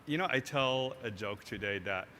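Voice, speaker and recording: A man's voice: medium pitch, moderate pace, bright, forceful tone. A man from Morocco, a tech community founder being interviewed on stage, talking earnestly and often checking in with "right?". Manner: earnest, frequent "right?" tag questions. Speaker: tech nonprofit founder, guest. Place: large hall, live event stage. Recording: echoey hall, faint noise.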